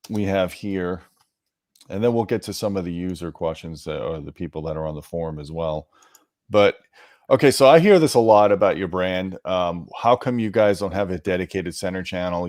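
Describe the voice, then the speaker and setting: Deep-voiced man hosting a technical interview. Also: darkish tone, no echo, studio quality. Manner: conversational, explanatory, addressing viewers directly. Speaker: host interviewing an audio product manager. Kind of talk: technical explanation and interview questions.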